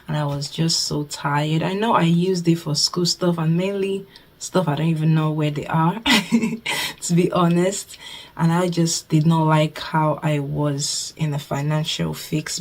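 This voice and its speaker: A woman's voice: deep voice, a little mellow. A Nigerian woman in her twenties talks casually to her camera as a budgeting vlogger, chatty and thankful, often repeating herself. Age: 20s.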